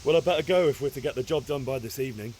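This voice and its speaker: A man's voice: mid-range voice, rapid-fire, a little mellow, a little hiss or hum, close to an everyday voice, poor audio. A man playing a cheeky, eager young blacksmith's son in a medieval video game. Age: young adult. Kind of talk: video game cutscene acting.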